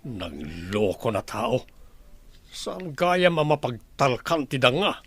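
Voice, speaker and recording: Lively, swinging household father. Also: medium pitch, takes it slowly, breathy edge, dry recording, heavily acted. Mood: annoyed. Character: husband and father of a well-off household. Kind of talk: radio drama acting.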